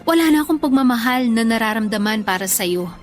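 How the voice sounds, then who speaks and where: A woman's voice: on the high side, lively intonation, a little fast, soft background music, natural voice. A woman playing a weary, blunt ex-girlfriend in a radio drama, firm and exasperated. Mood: firm, resigned. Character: weary, blunt ex-girlfriend firmly ending a long relationship. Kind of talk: emotional radio drama dialogue.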